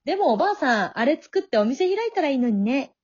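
A vain, coquettish pheasant madam voiced by a woman in a comedy radio drama. She speaks in a high, womanly voice with gentle condescension. The sound is a little dull. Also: very animated, quick pace. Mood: motherly, charming. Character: proud, coquettish female pheasant, a worldly bar hostess. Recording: dull lo-fi audio.

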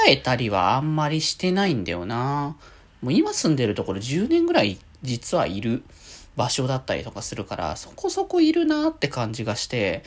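A man hosting a casual solo radio talk, chatting in a rambling, conversational way. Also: medium pitch.

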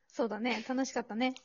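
Lady doing a hapless pretty-boy boyfriend on a date, highish voice, hesitant n casual, muffled lo-fi. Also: noisy background, natural voice. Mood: agreeable, amused. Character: handsome but useless young boyfriend on a date. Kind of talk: improvised romantic audio skit.

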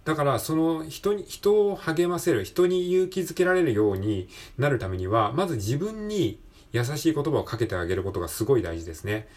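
Male podcast host, rough-edged voice, lively swooping intonation, casual motivational delivery that keeps seeking agreement. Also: medium pitch, a little fast, few pauses. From Japan. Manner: encouraging, conversational, repeatedly seeking agreement. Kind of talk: casual solo motivational talk.

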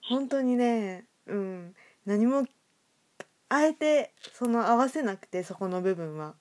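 A woman's voice: a little high, lively intonation, takes it slowly, darkish tone. Giggly, self-deprecating woman hosting a chat. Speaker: host and writer of an audio drama show. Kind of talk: casual chat with a guest.

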